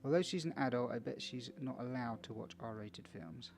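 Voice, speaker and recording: A man's voice: medium pitch, moderate pace, faint music behind. A man playing a video game for viewers, casually reading its text aloud with small stumbles and chatty asides. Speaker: video game let's player.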